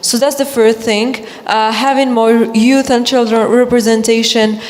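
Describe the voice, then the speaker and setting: A woman's voice: fairly high pitch, fairly even intonation, measured pace, a little mellow. A young woman from Morocco whose first language is Arabic, speaking earnestly on a panel and often restarting her words. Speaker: panelist, youth climate ambassador. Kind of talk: panel discussion. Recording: long hall reverb.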